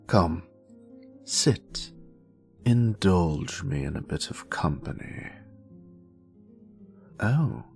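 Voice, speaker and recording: A man's voice: low voice, vocal fry, a little mellow, heavily acted. A man voicing a grumpy, sassy British wizard, a haughty former professor with theatrical, dryly sarcastic delivery in an audio roleplay. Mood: dry, sardonic. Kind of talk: scripted character monologue, audio roleplay.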